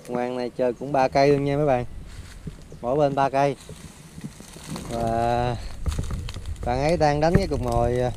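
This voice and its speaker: A man's voice: mid-range voice, noisy background. A young man with a Southern Vietnamese accent, giving casual fishing commentary by a lake and calling out instructions to a friend. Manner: excited, shouting instructions to a friend. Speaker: fishing vlogger. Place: outdoors by a forest lake.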